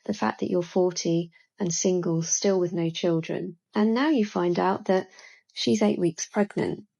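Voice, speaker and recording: Woman, slow sympathetic talker, creaky voice. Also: on the low side, warm tone, low-quality recording. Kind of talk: talking to camera.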